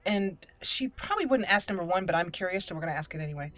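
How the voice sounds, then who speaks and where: A woman's voice: lowish voice, expressive, fast talker, fairly bright tone, no echo. A woman hosting a roleplay let's play, switching between casual commentary and reading character dialogue aloud.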